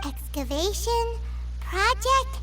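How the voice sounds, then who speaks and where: Tiny eager fairy sidekick for a game, very high and lively, bouncing all over. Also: female voice, slow, full character voice. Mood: curious. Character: tiny floating fairy companion, eager, excitable. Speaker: game companion character. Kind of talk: video game voice acting.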